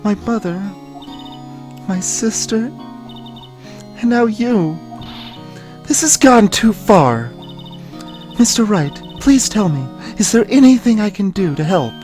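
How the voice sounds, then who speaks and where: A woman voicing an earnest, plucky young woman in dramatised video game dialogue, with a soft, emotive delivery. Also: medium pitch, animated, slow pace, pauses often, soft background music. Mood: anguished, indignant.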